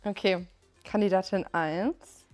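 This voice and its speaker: A young woman hosting a dating show, asking quick, upbeat questions. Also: mid-range voice, steady tone, darkish tone, faint music behind. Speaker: show host. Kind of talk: casual chat.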